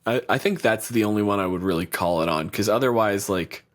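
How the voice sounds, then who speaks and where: A man with a fairly low voice chatting as a podcast co-host. He talks loosely, with plenty of swearing, restarts and asides. The tone stays informal throughout. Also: brisk. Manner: loose and profane, rambling with restarts. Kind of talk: casual chat.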